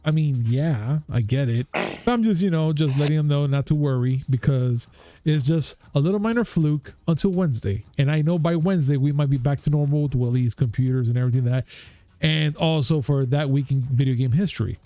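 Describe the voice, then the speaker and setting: A man's voice: fairly high pitch, brisk, dark tone, dry recording. A man in his thirties hosting a casual gaming news show, chatting in a hyped, laid-back way. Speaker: podcast host.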